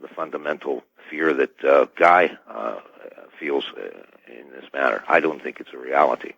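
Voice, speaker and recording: An American man speaking as an expert guest in a radio interview, with a halting, hedging delivery. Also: extremely low pitch, gravelly, fairly bright tone, slightly rough, no echo. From United States. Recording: dull band-limited radio line.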